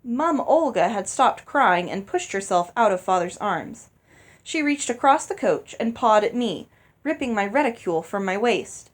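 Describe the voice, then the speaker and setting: A woman reading a novel aloud as an expressive storybook narrator. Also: mid-range voice, moderate pace. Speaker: audiobook reader.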